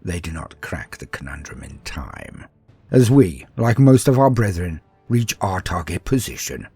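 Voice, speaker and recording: A man voicing a proud, battle-hungry space marine in a sci-fi war story, with a husky voice and a haughty, grandiose manner. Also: low-pitched, big pitch swings, a little slow, mellow, no room sound, faint music behind, pitched below the performer's own voice, exaggerated voice. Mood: grim, intense. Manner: haughty, scornful, grandiose, dramatic. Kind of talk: first-person dramatic sci-fi war storytelling.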